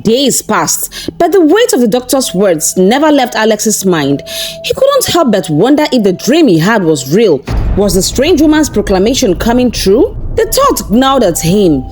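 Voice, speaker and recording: A woman narrating a scripted folktale in an expressive, dramatic storytelling manner. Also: on the high side, normal speed, faint music behind.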